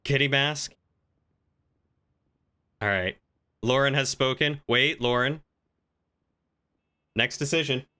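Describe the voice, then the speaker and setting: A man talking casually on a gaming livestream, chatty and playful, thinking out loud as he goes. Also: mid-range voice, takes it slowly, pauses often, clean tone.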